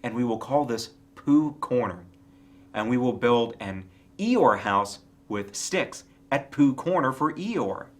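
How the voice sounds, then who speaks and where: A kindly storybook bear, read by a man with a lively, swinging intonation. He is simple and earnest, musing aloud with confidence and a slightly muddled manner. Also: mid-range voice, takes it slowly.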